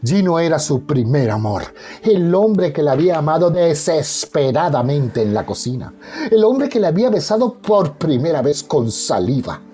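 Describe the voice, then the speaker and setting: A man's voice: on the high side, very animated, moderate pace, dark tone. A man giving dramatic, scripted narration for a radio soap opera in a melodramatic storytelling manner.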